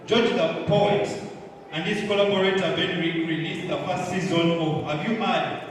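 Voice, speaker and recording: A man's voice: sits high, fairly even intonation, moderate pace, far from the mic, a little hiss or hum. A man hosting a live event, talking easily and directly to the audience. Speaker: event host. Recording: echoey hall, mic from afar, crowd.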